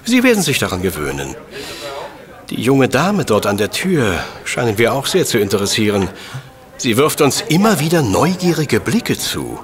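Radio play detective professor: formal old-world phrasing, condescending precision, highly varied mid-range voice. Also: male voice, huge pitch swings, moderate pace. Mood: lightly amused, brisk. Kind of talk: German radio play acting.

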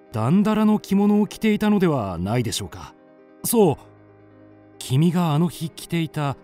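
Earnest amateur sleuth, highly animated. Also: male voice. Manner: deliberate, dramatic, polite. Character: earnest young male amateur sleuth, confidently accusing his friend. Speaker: narrator and lead character. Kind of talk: radio drama acting and narration.

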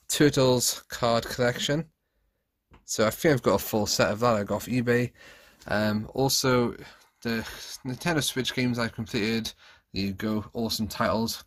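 A man's voice: lowish voice, a little hiss or hum. A man in his thirties narrating a room tour in a casual, chatty, off-the-cuff way, recorded on a handheld phone at home. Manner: chatty, off-the-cuff, self-correcting. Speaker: vlogger, collector. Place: small rooms at home, indoors. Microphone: handheld phone, held by the speaker.

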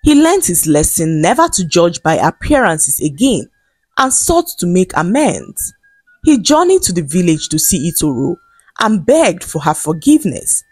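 A Nigerian-accented woman narrating a scripted folktale as a storyteller, with measured, expressive phrasing. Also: lowish voice, slightly rough, dry recording, soft background music.

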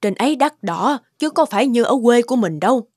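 An actress playing a worried, gentle middle-aged farming mother in an animated family drama, plain-spoken and concerned. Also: a little high, fairly quick, slightly rough, dry recording, clean studio sound. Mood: mildly worried. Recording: loud music behind the voice.

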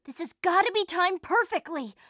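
An earnest teen heroine. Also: female voice, extremely high pitch, animated, normal speed, fairly bright tone, no room sound, clean studio sound. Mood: determined. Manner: earnest and commanding. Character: brave teenage heroine, level-headed leader. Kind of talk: animated series voice acting.

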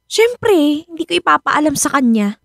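A woman's voice: high voice, sing-song, normal speed, gravelly, fairly bright tone, no room sound, studio quality, heavily acted. A woman playing a hurt, pleading wife seeking closure from an old love, in a Filipino radio drama. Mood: guarded, insistent. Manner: emotional, pleading.

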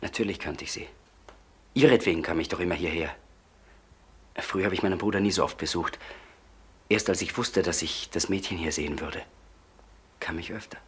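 An actor playing a tormented man confessing in a crime radio play, haltingly, often dropping toward a whisper. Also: low-pitched, slightly rough. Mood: halting, subdued. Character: tormented man confessing a killing to his brother. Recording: slightly noisy old radio play recording.

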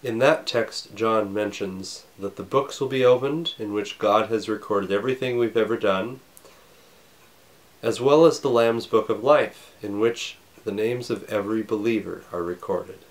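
A man in his thirties, a pastor, preaching a recorded sermon from his home study with a scratchy voice and a slight cough, earnest and measured. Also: on the low side. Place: home study with bookshelves, indoors.

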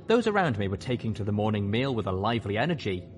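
A man's voice: on the low side, sing-song, fast talker, slight background noise. A man reading a scripted first-person story as its narrator. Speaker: narrator and host.

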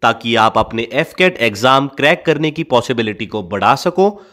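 A man's voice: mid-range voice, expressive, moderate pace, a bit bright. A man with an Indian accent reads a scripted explainer as a video narrator, slipping between Hindi and English phrases. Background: background music.

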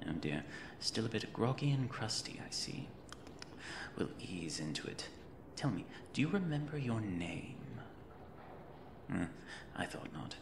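In an echoing space over noisy ambience, a man acts out an eccentric mad reanimator for an ASMR horror roleplay. The male voice is gravelly and swoops widely, theatrical and fussily polite. He is a creepy, cheerful captor who rambles off on tangents. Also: lowish voice, sing-song, a little slow, long pauses, heavily acted. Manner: theatrical, digressive, politely fussy, macabre. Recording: roomy echo with noisy ambient backdrop.

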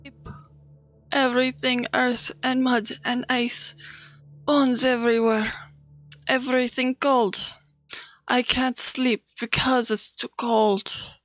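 A woman playing a frightened, traumatized child in a horror audio drama, speaking in halting, broken sentences. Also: a little high, level tone, a bit bright. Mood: fearful, subdued.

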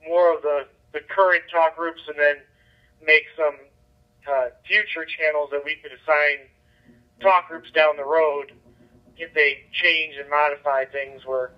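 A man's voice: fairly high pitch, fairly even intonation, unhurried. A man chatting casually as an amateur radio operator over a digital two-way radio. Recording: muffled lo-fi digital radio transmission.

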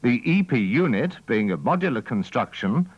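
Male narrator for vintage technical instruction film: methodical, formal, animated pitch movement, dull lo-fi sound. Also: moderate pace, husky, no room sound. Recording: dull, dry old training-film soundtrack.

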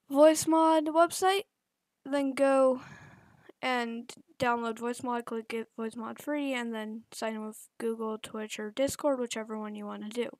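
A young woman hosting a casual software tutorial, talking haltingly with many fillers and restarts. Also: on the high side, no echo. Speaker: gaming channel host.

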